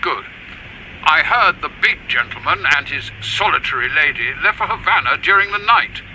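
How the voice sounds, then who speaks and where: A man's voice: on the high side, expressive, moderate pace, sharp tone, a little hiss or hum, low-quality recording. A man playing a hesitant, discreet British spy chief posing as an uncle in a radio drama. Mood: guarded.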